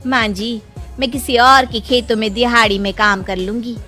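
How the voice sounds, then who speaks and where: A woman as a bright-voiced, determined young daughter-in-law. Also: fairly high pitch, fairly even intonation, moderate pace, faint music behind. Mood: earnest. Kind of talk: animated moral story dialogue.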